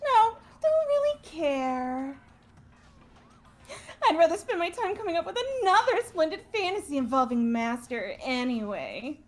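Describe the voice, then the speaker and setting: A woman's voice: high voice, sing-song, unhurried. A woman voicing an obsessive, dreamy, dismissive young woman in a fan-voiced visual novel game. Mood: aloof, dreamy.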